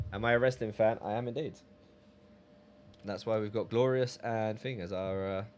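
A man with a fairly low voice chats loosely through a game live stream. He reads out messages from viewers and answers them. Faint music plays behind him. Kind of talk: casual live-stream chat.

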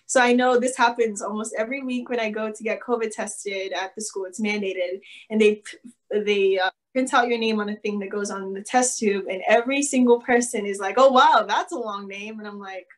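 A woman's voice: mid-range voice, steady tone, normal speed. A young woman from Nigeria, a student, speaking in an earnest, chatty way with many fillers over a video call. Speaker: student participant. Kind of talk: candid personal account.

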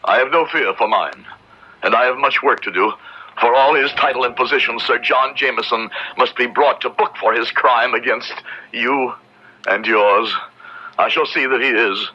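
A man's voice: mid-range voice, normal speed, sharp tone, husky. A man playing a grave, devout Puritan judge in a radio drama, speaking in formal, solemn oratory. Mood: solemn, resolute. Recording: dull, band-limited old radio recording.

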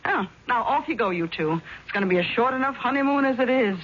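A woman's voice: a little high, animated, quick pace, lightly acted. An actress playing a brash, money-minded middle-aged mother-in-law with an arch, teasing manner in 1970s radio drama. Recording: dull, faintly noisy 1970s radio recording.

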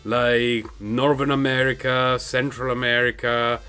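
A man's voice: medium pitch, little pitch movement, measured pace, pushed and bright, clear, resonant tone. A man with a non-native English accent chatting casually while playing a game on his couch, rambling and laughing often, into a mic in front of him. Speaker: gaming channel host. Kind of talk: casual gameplay commentary. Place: home, on a couch. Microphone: microphone raised in front of him.

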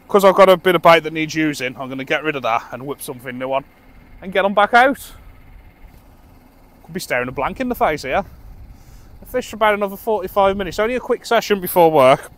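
A man's voice: fairly high pitch, a bit bright. A middle-aged British man in casual running commentary as he fishes from a dock at night, chatty and self-amused, recorded by a camera some distance away. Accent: British English. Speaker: angler vlogger. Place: dock by the sea at night, outdoors. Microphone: camera on tripod, at a distance.

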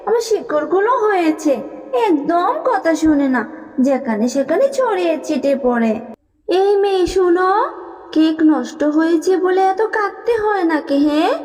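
A woman's voice: extremely high pitch, animated, moderate pace, darkish tone. A woman voicing a kindly, remorseful talking tree in a cartoon, soothing and coaxing. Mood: pitying. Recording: long reverb with music underneath.

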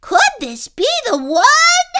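A storybook poodle pup, voiced by a woman reading aloud, young, male and full of hope. He speaks very high and slowly, with a bright tone. He sounds eager and full of wonder. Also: huge pitch swings, heavily acted. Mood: hopeful wonder. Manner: wondering, slow and drawn out.

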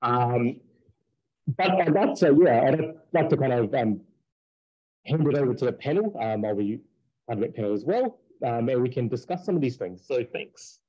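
A man researcher talking casually on a video call, with many fillers and quick yeps. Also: medium pitch, lively intonation, warm tone, highs cut off. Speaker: researcher presenting a talk. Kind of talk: conference talk and Q&A. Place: indoors, small room. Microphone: headset on video call.

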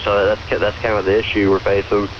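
A man's voice: fairly low pitch, little pitch movement, fast. A polite, hesitant man from the southern United States calling a radio show and chatting casually, heard through a radio. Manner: hesitant, polite, addressing host as sir. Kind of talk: casual phone-in conversation. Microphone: call replayed from a portable radio speaker. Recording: dull, noisy AM radio relay of a call.

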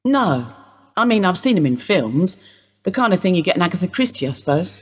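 A woman acting in a radio play as a weary, evasive older wife under suspicion, husky and rambling in an understated way. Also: on the low side, big pitch swings, a little fast. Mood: wistful, evasive.

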